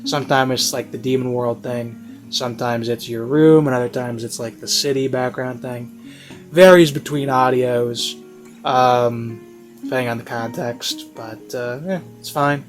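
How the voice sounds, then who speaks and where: A man delivers an unscripted review in a casual, rambling style. His pitch sits in the middle of the usual male range. He speaks haltingly, often rephrasing as he goes, over a bed of classical music. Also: fairly even intonation. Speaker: online reviewer. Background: classical background music.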